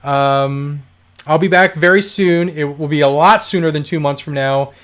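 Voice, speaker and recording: A man hosting a casual livestream from home, chatting and reading out viewer comments, with a voice going hoarse from a dry throat. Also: on the high side, fairly even intonation, normal speed, fairly bright tone. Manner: chatty, rambling, laughs often. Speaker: livestream host.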